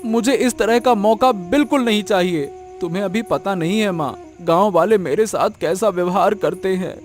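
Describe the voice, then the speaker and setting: A man's voice: sits high, normal speed, faint music behind, natural voice. A man playing a naive, honest village vegetable seller in a cartoon, earnest and plaintive, often repeating himself. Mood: hurt, pleading. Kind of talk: Hindi cartoon moral story acting.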